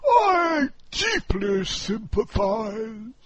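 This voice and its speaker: A man voicing a greedy, pompous old walrus, declaiming theatrically and shouting his lines in a storybook poem reading. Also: sits high, sing-song, extremely slow, pitched above the performer's own voice, exaggerated voice. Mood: mock sorrowful.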